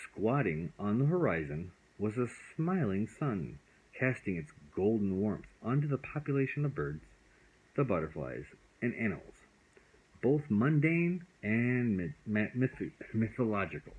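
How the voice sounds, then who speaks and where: A male storyteller reads a bedtime tale aloud. His voice sits fairly low with a husky edge. He is expressive, moving freely through his range. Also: measured pace, phone-quality sound.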